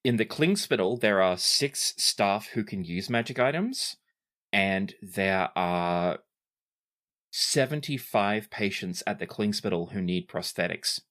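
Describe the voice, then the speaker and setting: A man's voice: lowish voice, animated, takes it slowly, a bit bright. A man narrating a tabletop game as the game master.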